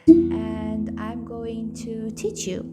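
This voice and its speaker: Blunt Indian-accented woman, slow talk. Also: a little high, music in the background. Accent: Indian-tinged English. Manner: candid, blunt, swears freely, self-mocking. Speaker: nutrition coach. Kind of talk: casual weight-loss vlog.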